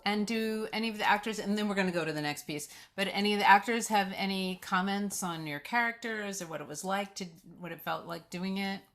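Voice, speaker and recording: A woman's voice: medium pitch, fairly quick, few pauses, fairly bright tone. A woman hosting a question-and-answer discussion, putting questions to participants and moving the session along. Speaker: host, moderator. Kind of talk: Q&A moderation.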